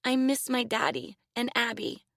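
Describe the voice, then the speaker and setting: A woman voicing a frightened young girl who pleads and cries in a high voice. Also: lively intonation, normal speed, creaky, a bit bright, dry recording, clean studio sound, heavily acted. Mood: tearful prayer.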